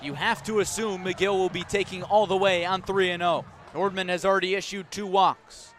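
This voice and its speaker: A man's voice: high-pitched, fairly even intonation, normal speed, a bit bright. An American man calling live baseball on the radio as play-by-play announcer, narrating dramatically in short, clipped phrases. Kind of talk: live radio sports commentary.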